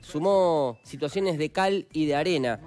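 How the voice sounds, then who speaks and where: A man's voice: a little high, measured pace, darkish tone, soft background music. A man with an Argentine Spanish accent narrating news footage in a conversational way, with frequent fillers. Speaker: news presenter. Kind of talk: news commentary over footage.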